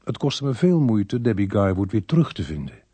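A man's voice: fairly low pitch, sing-song, moderate pace, warm tone, breathy edge, dry recording. An actor playing a weary, sceptical policeman in a Dutch radio play, dry and grumbling, with a Netherlands Dutch accent. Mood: wry, weary. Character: weary, sceptical policeman narrating his own case.

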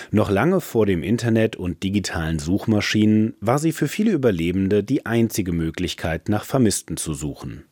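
Low-voiced man presenting, lively intonation. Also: dry recording, studio quality. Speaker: radio presenter. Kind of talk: scripted narration introducing a radio play.

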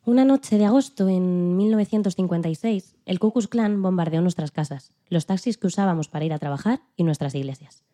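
A woman playing a dignified elderly female civil rights activist who recalls her struggle in a calm, earnest, plain-spoken way, as scripted interview storytelling. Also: lowish voice, a little fast, hardly any pauses, natural voice. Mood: earnest, resolute. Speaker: podcast guest in a dramatized interview. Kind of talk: scripted first-person storytelling in interview form.

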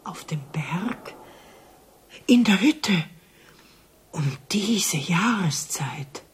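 An actress in a German radio drama playing a credulous, pious village woman who exclaims in hushed wonder. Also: on the low side, lively intonation, extremely slow, heavily acted. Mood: hushed astonishment. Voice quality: breathy, husky.

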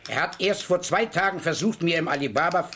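A man's voice: a little high, fairly quick, sharp tone. An actor playing a sarcastic, middle-aged police commissioner and blustering father in a German radio crime play. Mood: sardonic. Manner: sardonic, blustering, easily irritated.

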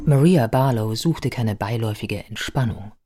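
A man's voice: mid-range voice, moderate pace, mellow, slight background noise. A man narrating scripted biography in a radio play in a matter-of-fact manner.

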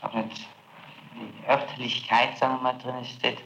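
A man questioning a suspect as prosecutor. His talk is stiff and formal, full of hesitations and "isn't it?" tags. The old tape is muffled and echoey, with a loud hiss underneath. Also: mid-range voice, little pitch movement. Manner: formal, halting, many fillers and tag questions. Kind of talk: archival interrogation tape.

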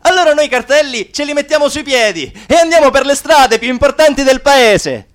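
Impassioned activist, strikingly high-pitched. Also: male voice, brisk, no echo, studio quality. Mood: agitated, rallying. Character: impassioned young Sicilian anti-mafia activist, defiant, mocking, poetic. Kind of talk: radio theatre acting and denunciation.

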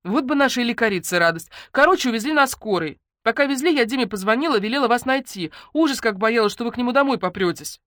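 A woman voicing an excitable, anxious and demanding young woman in an audiobook, with blunt, exclamatory delivery. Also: a little high, fast, few pauses, dry recording, clean studio sound, voice pushed up. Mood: agitated, complaining. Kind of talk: audiobook character dialogue.

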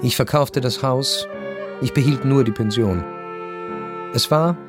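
A man in a radio play acting a melancholy, detached baron who tells his story in measured, sombre literary narration. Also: mid-range voice, natural voice. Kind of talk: literary first-person narration in radio drama.

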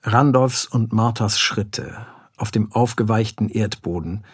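A man's voice: fairly low pitch, measured pace, breathy edge. A man narrating scene directions for a radio play in a scripted style.

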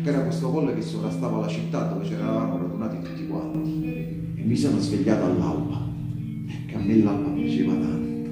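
A man performing a theatrical monologue as a wistful Resistance partisan recalling the war, confiding and halting, with drawn-out phrases and repetitions. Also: fairly low pitch, natural voice. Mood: nostalgic, hushed. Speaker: stage actor performing a monologue. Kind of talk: theatrical narrative monologue, intimate and colloquial. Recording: very echoey, music playing behind the voice. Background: live guitar music.

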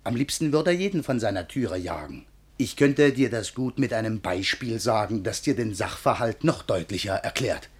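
An actor playing a simple, cheeky manservant in a verse radio comedy, husky-voiced, with a homespun comic manner. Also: male voice, fairly low pitch, lively intonation, moderate pace. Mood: explaining, amused. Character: simple, cheeky manservant, homely explainer of things.

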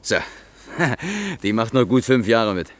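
A man's voice: mid-range voice, sing-song, slow pace, a little hiss or hum. An actor playing a friendly, helpful village man in a German radio play, talking in an easygoing, colloquial way. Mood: helpful, cheerful.

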